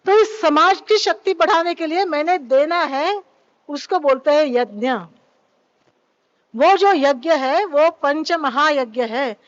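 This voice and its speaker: A woman's voice: high voice, slow, no room sound, dull audio. A woman giving a spiritual talk at a yoga event, speaking into a microphone and often asking her listeners questions. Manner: keeps asking the audience questions; mixes in English phrases. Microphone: microphone at a live event.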